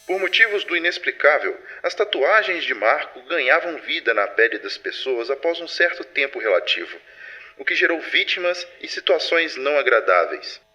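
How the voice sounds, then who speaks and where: A man playing the composed superintendent of a secret supernatural patrol, gravely narrating case files in a scripted audio drama. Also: a little high, big pitch swings, normal speed, sharp tone, exaggerated voice, rough recording.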